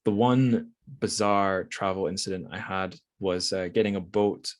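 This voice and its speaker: A man with a notably low voice talks casually as a podcast host. He feels his way through thoughts about a book, hesitating, doubling back and rewording as he goes. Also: steady tone, unhurried. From Scotland. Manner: hesitant, self-correcting, rambling.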